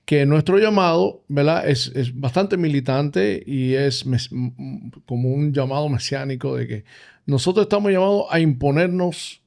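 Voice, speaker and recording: A Dominican man co-hosting a casual church talk, speaking in a thoughtful, halting way with many fillers. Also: fairly high pitch, gravelly, darkish tone. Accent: Dominican Spanish. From Dominican Republic. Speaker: podcast co-host.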